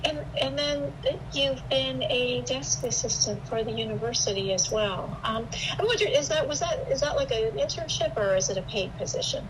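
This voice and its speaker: Female phone recruiter, fairly high voice, upbeat and chatty, clearly noisy speakerphone recording. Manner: upbeat, encouraging, chatty, slightly halting. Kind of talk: conversational phone interview.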